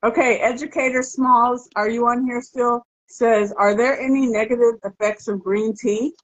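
A woman's voice: a little high, steady tone, moderate pace. A middle-aged American woman hosting a casual livestream Q&A in an informal, playful way. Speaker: host. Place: living room at home, video call. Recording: dull, muffled video-call audio.

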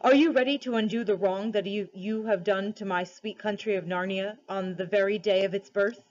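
A woman's voice: mid-range voice, steady tone, normal speed, darkish tone, lightly acted. A great storybook lion played by a woman reader, grave and regal. He speaks formally and with authority, in a slightly dull, lo-fi recording. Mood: stern. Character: great solemn male lion king, commanding and wise.

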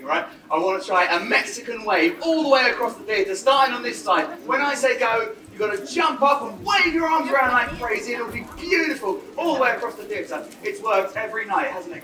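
A performer playing Buttons's part, a cheeky, excitable young male pantomime sidekick, bantering playfully with a live audience in an exuberant style. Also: mid-range voice, fairly quick, few pauses, distant mic, natural voice. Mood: rousing, teasing. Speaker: pantomime comic working the audience. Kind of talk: pantomime audience banter, shouted call and response. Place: on stage in a theatre. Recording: roomy theatre from afar, noisy audience. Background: live audience with children.

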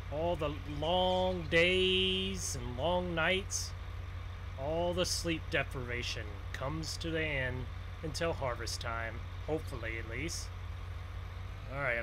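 A man with a slightly high voice plays a farmer in a gaming video. He talks slowly and ramblingly, in a folksy way, thinking out loud. Engine and vehicle noise runs clearly behind him. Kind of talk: improvised roleplay narration as a farmer. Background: game engine and vehicle sounds.

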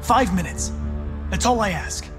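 High-voiced, precise young android detective. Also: male voice, expressive, unhurried. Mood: urgent, pleading. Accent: American. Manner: precise, formal, earnest. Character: young male android detective, polite, earnest, insistent. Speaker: video game character. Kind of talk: dramatic game cutscene acting. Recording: loud music behind the voice.

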